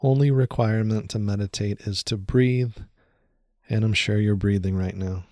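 A man leading a guided meditation in a soothing, unhurried way, speaking in short phrases with long pauses. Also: lowish voice, fairly even intonation, darkish tone, no room sound, clean studio sound.

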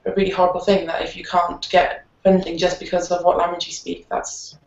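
A woman's voice: lowish voice, monotone, fairly quick. A young woman talking unsurely with constant "like" fillers in a casual panel discussion over a video call. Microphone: laptop webcam video call. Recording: roomy, muffled video call audio.

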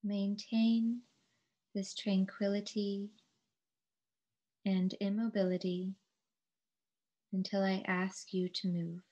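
Slow, even-toned woman guiding meditation. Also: medium pitch, dull audio. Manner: calm, soothing, measured instruction with long pauses. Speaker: yoga teacher. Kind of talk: guided relaxation meditation.

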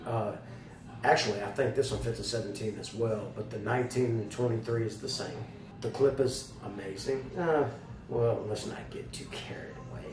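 Man, lowish hoarse voice, folksy video reviewer, very echoey room. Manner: folksy, colloquial, addressing viewers directly. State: slightly hoarse, losing his voice. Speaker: product reviewer, channel host. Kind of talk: casual chatty holster video review. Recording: roomy, distant mic with loud background noise.

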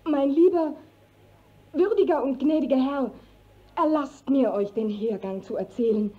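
A young village maiden with a very high voice, earnest and defiant. She declaims classical German stage verse with anguish. The old radio play recording sounds dull and muffled. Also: measured pace. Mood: earnest, resolute.

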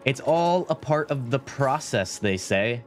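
A man's voice: medium pitch, animated, measured pace. Laid-back male streamer, sick-day voice. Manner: laid-back, rambling, says "like" and "dude" a lot. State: sick, voice slightly deeper. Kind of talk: casual game stream chat. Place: small home room. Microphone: studio mic at desk, webcam framing. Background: game music.

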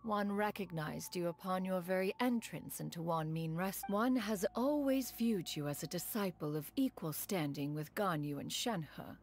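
A woman voicing a proud but tender immortal crane in human form, speaking formal, archaic, measured lines in a video game. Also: lowish voice, lightly acted. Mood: solemn, caring. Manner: formal, archaic, calls herself "one", measured. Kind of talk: scripted video game dialogue.

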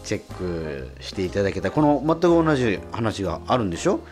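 A man hosting a radio show, chatting casually in a halting way. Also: lowish voice, big pitch swings, a little mellow, faint music behind. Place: radio studio.

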